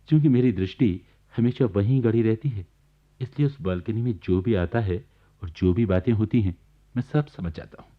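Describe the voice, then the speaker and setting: An actor playing a genial, wry government officer laid up in bed, confiding in the listener as a radio play narrator. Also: male voice, lowish voice, moderate pace, close to an everyday voice. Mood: fond, amused. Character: genial bedridden government officer recounting his neighbours' life. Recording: dull archival radio recording.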